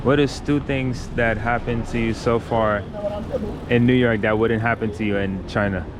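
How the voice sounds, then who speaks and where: A man's voice: mid-range voice, fairly even intonation, moderate pace. A man speaking casually and encouragingly as a street interviewer, in an informal, affirming way. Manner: informal, affirming, frequent laughter and short echoing questions. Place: outdoors on a New York City street. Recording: loud city street traffic noise. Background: traffic and street ambience.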